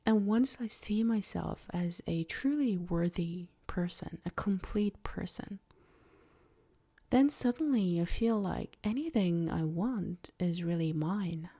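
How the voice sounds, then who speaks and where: A woman guiding a soft-spoken ASMR meditation in a breathy, near-whispered voice, with gentle, deliberate phrasing. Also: lowish voice, expressive. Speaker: ASMR creator and meditation guide.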